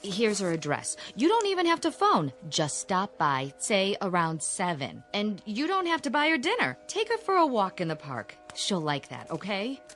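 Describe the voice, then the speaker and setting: An actress playing a worried, bossy sister in a radio drama, speaking frankly and insistently with strong emphasis. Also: female voice, mid-range voice, wildly swooping pitch, normal speed, few pauses, a bit bright, faint music behind. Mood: coaxing, insistent. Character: blunt, pushy, worried sister of a shy man. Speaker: actor in a radio play.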